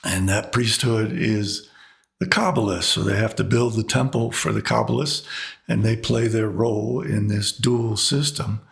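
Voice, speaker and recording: Slow, gravelly, slightly hoarse man. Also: fairly low pitch. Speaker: host of an online commentary video. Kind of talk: unscripted monologue commentary.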